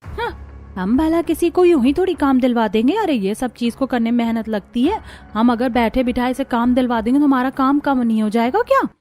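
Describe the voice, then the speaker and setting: A woman's voice: sits high, fairly quick, darkish tone, soft background music, natural voice. A woman voicing a selfish, evasive housewife neighbour in a cartoon story, emphatic and dismissive. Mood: smug.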